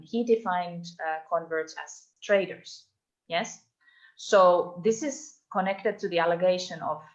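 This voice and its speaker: A woman giving an online talk about her research, thoughtful and full of ums and restarts, heard over a video call. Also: fairly low pitch. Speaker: invited speaker, doctoral researcher. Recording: dull lo-fi online call audio.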